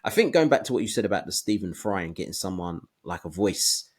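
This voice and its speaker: Low-pitched man thinking aloud on a podcast. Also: big pitch swings, fast, husky. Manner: hesitant, thinking aloud in broken phrases. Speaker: podcast co-host. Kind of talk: casual podcast discussion.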